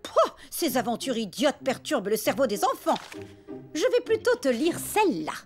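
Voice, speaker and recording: High-pitched, bossy cartoon babysitter. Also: female voice, wildly swooping pitch, normal speed, darkish tone, faint music behind. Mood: disdainful. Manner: fussy, haughty, scolding. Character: prim, bossy, fault-finding female babysitter. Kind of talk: animated cartoon dub acting.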